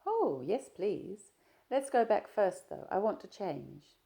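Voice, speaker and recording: A woman voicing a shy, reserved young woman traveller in an audiobook, with soft, hesitant replies. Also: mid-range voice, sing-song, slow, gravelly, pitched above the performer's own voice, full character voice.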